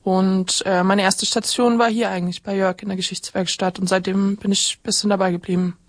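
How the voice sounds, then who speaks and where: A woman's voice: mid-range voice, level tone, a little fast, no echo. A woman talking casually as an interview guest. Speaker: volunteer at history workshop.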